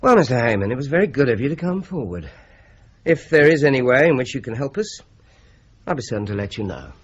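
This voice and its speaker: An actor playing a calm, courteous police inspector who asks measured, polite questions in a radio drama. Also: male voice, medium pitch, breathy edge. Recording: dull lo-fi audio.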